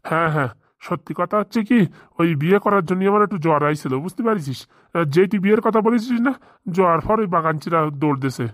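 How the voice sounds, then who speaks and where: A man voicing an eager, bossy village gentleman in a comic cartoon, rambling in folksy dialect. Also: high-pitched, lively intonation, quick pace, no room sound, clean studio sound. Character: eager marriage-hungry village gentleman, bossy boss to two helpers. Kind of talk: comic Bengali cartoon dialogue.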